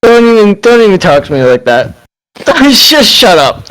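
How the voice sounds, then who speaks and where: A man's voice: high-pitched, sing-song, measured pace, a bit bright, poor audio. A man chatting and bantering casually with friends as a party game player.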